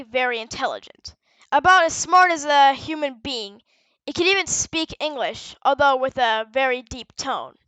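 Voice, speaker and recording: High bright female voice explaining stuff like a research log, matter-of-fact, corrects herself sometimes. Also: no echo, low-quality recording. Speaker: narrator presenting a fictional research audio log. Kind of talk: semi-scripted explanatory narration.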